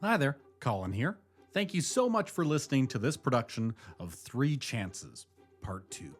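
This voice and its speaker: Male host for scripted show outro, animated intonation, mid-pitched, light music underneath. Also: normal speed. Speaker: host, writer and director. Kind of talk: scripted outro narration, friendly address to listeners.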